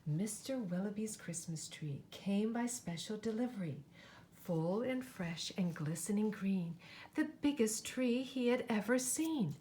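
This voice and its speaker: Unhurried woman reading a children's storybook aloud. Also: medium pitch, a little hiss or hum. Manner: animated, dramatic, with asides to listeners. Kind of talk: expressive read-aloud for children.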